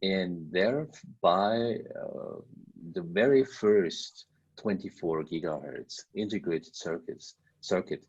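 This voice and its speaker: Middle-aged guy lecturing over a video call, deep husky voice, slow and halting with lots of uhs, muffled lo-fi audio. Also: darkish tone. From Germany. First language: German. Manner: halting, searching for words, many fillers. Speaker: university lecturer. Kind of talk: online university lecture.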